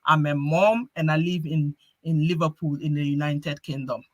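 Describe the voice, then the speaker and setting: A woman's voice: sits low, moderate pace, no room sound, lo-fi sound. A woman hosting a live-stream group chat, talking in a chatty way and often restarting her phrases. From Ghana. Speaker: host.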